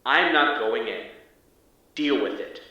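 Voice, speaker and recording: A man's voice: medium pitch, takes it slowly, sharp tone. An actor playing a wary, irritable male field agent in an audio drama, sighing and hesitant as he reports back. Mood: exasperated, defiant. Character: wary, exasperated male field agent exploring an anomalous hallway. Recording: distant, muffled, echoey hallway.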